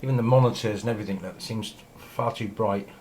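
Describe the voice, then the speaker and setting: A man giving a casual, ad-libbed video tour in a hesitant, unhurried, rambling way, recorded on a handheld camera in a small room. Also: lowish voice, darkish tone. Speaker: amateur radio operator filming shack tour. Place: small indoor radio room. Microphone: handheld camera mic. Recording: noisy handheld camera sound.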